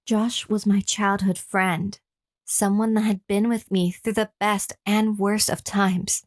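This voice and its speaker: A woman's voice: mid-range voice, natural voice. Horror audio drama: actress as captive, wounded female victim of an obsessive lover, mid-range voice, telling her own story. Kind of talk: first-person horror radio drama narration with acted dialogue.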